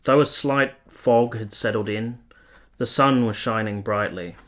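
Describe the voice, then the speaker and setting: A man acts a sincere young military officer in a period radio drama. His voice sits low. He speaks in measured, literary phrases, tender and reflective, as if setting down a memoir. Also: a bit bright. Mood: reflective, wistful. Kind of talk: radio play acting and literary narration.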